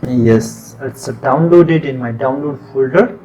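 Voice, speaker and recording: Nepali-accented man explaining software steps. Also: medium pitch, low-quality recording. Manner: plain step-by-step instructions, unhurried. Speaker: tutorial presenter. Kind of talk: screen-recorded software tutorial.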